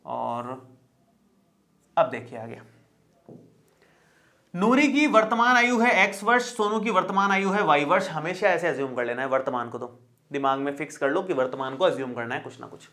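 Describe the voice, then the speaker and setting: Guy teaching math, talks it through slow and friendly, keeps saying it's easy and asking if you got it. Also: on the high side, lively intonation, bright, forceful tone. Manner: chatty and reassuring, repeats steps, checks in with the viewer. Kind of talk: explaining a math lesson.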